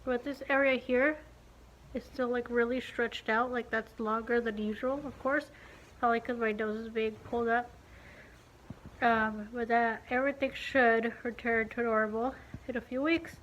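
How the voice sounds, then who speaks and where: A young woman with a slightly high voice chats into her phone at home, sounding blocked-up and a little muffled, as if she cannot move her lips freely. She rambles on in an easy video-diary way, full of "um" and "like". A faint hiss sits under the voice. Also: fairly even intonation, normal speed, fairly bright tone. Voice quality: stiff-lipped, slightly muffled speech. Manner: chatty, rambling, many fillers. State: congested, stuffy-nosed after nose surgery. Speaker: beauty vlogger. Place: living room at home. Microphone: phone camera at arm's length.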